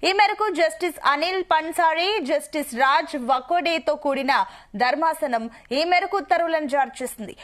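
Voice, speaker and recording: A woman news presenter reading a scripted report in a formal, measured manner. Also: very high voice. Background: music bed.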